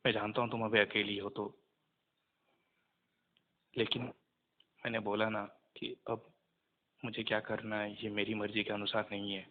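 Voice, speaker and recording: Young adult male, subdued and hesitant, low register, very even delivery, muffled call audio. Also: moderate pace, pauses often. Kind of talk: casual phone conversation. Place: phone call. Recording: muffled phone call recording.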